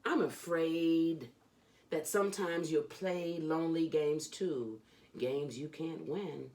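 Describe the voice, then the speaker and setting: Very low-voiced woman reading slowly and expressively. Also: a little mellow. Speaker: host of a children's read-aloud channel. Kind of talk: expressive children's storybook read-aloud.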